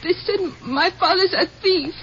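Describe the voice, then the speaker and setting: A woman playing an earnest, wounded young schoolgirl with an American accent in a 1950s radio drama, speaking haltingly and plaintively. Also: extremely high pitch, a bit bright. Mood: tearful, hurt. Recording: muffled, faintly noisy old radio recording.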